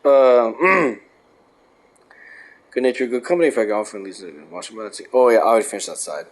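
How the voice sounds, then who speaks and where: Young adult man, mid-range voice going hoarse, reads aloud then rants off-script with plenty of swearing. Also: mid-range voice, brisk. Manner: rambling, profane, ranting. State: losing his voice. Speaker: host. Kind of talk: reading a book aloud with casual commentary.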